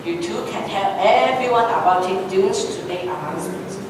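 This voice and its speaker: A proud, encouraging school principal played by a female reader speaks at a medium pitch. The amateur stage reading is heard from far off in a very echoey, noisy room. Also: normal speed, natural voice. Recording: very echoey room, distant mic, clear noise.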